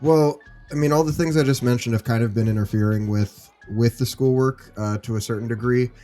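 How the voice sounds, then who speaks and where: A man acting as a concerned high school teacher with a mellow, relaxed voice. He is informal and hesitant, leaning on fillers as he explains things in an easygoing way, with music behind him in this audio drama. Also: a little fast, lightly acted. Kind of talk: role-played conversation in a scripted case study.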